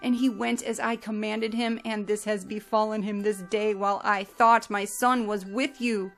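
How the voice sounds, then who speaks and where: A woman's voice: mid-range voice, level tone, normal speed, faint music behind, lightly acted. A woman voicing a grief-stricken old father. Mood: anguished. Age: older. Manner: wailing, lamenting. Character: grieving old father, man, heartbroken.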